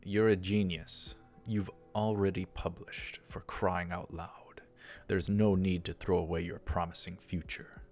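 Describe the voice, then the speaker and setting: Grave young male lead a man acts him, low pitch, emphatic and protective, visual novel drama. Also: level tone, unhurried, darkish tone, soft background music. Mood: earnest.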